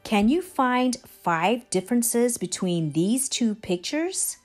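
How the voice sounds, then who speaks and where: Female children's story reader: unhurried, careful phrasing, highly varied melody, music underneath. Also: big pitch swings. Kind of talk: expressive read-aloud narration for young children.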